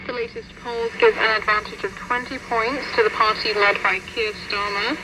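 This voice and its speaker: A woman's voice: a little high, fairly even intonation, moderate pace. Woman newsreader over noisy shortwave radio. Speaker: radio news presenter. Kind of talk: scripted news reading.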